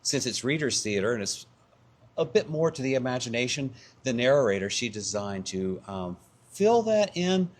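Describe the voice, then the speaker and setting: A man's voice: mid-range voice, big pitch swings, normal speed. A man being interviewed as a community theatre performer, answering in a thoughtful, casual way. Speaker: community theatre actor and narrator, interview guest.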